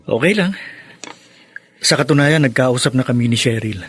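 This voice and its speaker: An actor playing a kind, open-minded older brother in a radio drama, reasoning and reassuring. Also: male voice, medium pitch, normal speed, fairly bright tone, husky. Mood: calm, defensive. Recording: music behind the voice.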